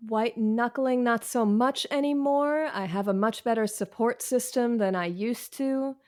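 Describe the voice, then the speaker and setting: A woman's voice: fairly high pitch, unhurried, a little mellow. An American woman talking casually as an interview guest, candid, wry and self-deprecating, with slangy phrasing. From Washington, D.C., United States.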